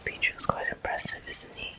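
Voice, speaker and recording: Whispered voice, breathy, very bright and sibilant, ASMR gameplay commentary, soothing, unhurried, close-miked. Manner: soothing, unhurried, softly encouraging. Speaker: game streamer.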